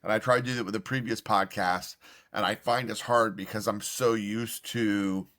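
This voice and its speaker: A man's voice: lowish voice, steady tone, fairly bright tone. Upbeat middle-aged man podcasting. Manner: upbeat, quick to react, swears casually. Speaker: podcast host and former teacher. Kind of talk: casual podcast chat.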